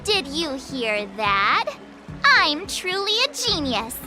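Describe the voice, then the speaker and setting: Very high, chirpy teenage heroine. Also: female voice, big pitch swings, takes it slowly, music in the background, heavily acted. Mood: excited, proud. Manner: chirpy, playful, dramatic. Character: excitable, boastful teenage girl, eager novice sword apprentice. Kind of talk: English video game voice acting.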